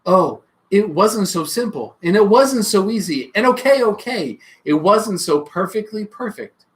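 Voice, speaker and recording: A bedtime-story host reads for children with very lively, expressive pitch. The delivery is playful, moving between storybook narration and casual asides. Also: a little slow. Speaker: host reading bedtime stories.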